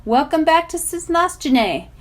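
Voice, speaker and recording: A woman's voice: very high voice, sing-song, a little slow, pitched above the performer's own voice, full character voice. Woman voicing a commanding teenage crystal boy guardian. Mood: friendly. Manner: commanding, suspicious, faintly irritated.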